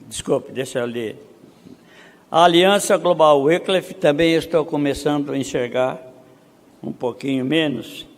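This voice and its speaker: A man from Brazil speaking at a missionary conference, reading statistics slowly and building to emphatic rhetorical questions. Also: a little high. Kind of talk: conference preaching. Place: seminary auditorium. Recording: long hall reverb.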